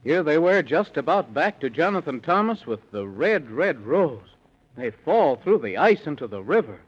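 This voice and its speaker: A man narrates in the manner of a 1930s children's radio announcer, with an animated, slightly high voice. The vintage recording is dull, with faint music behind him. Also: moderate pace, breathy edge.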